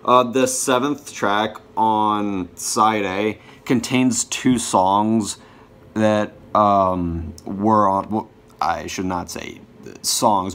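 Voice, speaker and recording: A young man giving a casual show-and-tell to camera, halting and often correcting himself. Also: lowish voice. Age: young adult. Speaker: vlogger showing a music collection. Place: small bedroom, indoors.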